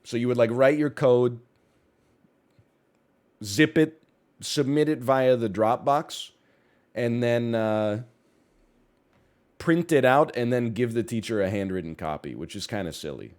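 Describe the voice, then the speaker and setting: A young man from Canada chats on a livestream, his voice slightly congested. He rambles through stories, corrects himself mid-sentence and throws in jokey asides. His pitch sits in the middle range for a man. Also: a little slow, pauses often, darkish tone. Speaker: streamer. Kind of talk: casual chat.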